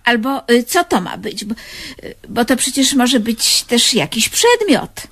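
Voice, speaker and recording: An actress playing a fussy, devoted elderly pensioner in a comedy radio play, speaking hesitantly and stammering over repeated words. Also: female voice, fairly high pitch, big pitch swings, unhurried, a bit bright, breathy edge, exaggerated voice. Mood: hesitant, gentle.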